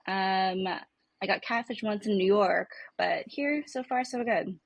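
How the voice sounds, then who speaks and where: A woman with a husky, slightly rough voice at an average pitch, recorded very dry. She chats casually as a podcast guest. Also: unhurried, no echo. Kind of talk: casual chat.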